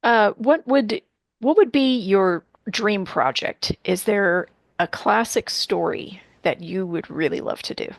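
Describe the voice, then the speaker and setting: Slow, gravelly woman interviewer. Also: mid-range voice, animated, no echo, studio quality. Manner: easygoing, laughs often. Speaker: interviewer, host. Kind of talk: casual interview talk.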